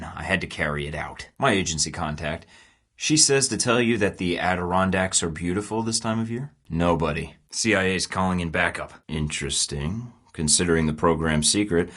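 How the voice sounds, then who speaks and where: A man's voice: low voice, fairly bright tone. An actor playing a terse, dry-witted male spy agent in game voice lines. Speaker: video game character.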